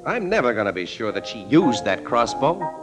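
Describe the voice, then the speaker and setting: An actor playing a wry, worldly American newspaper photographer, slangy and halting, in a 1940s radio drama. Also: male voice, mid-range voice, sing-song, music in the background, lightly acted. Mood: troubled. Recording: vintage radio broadcast recording.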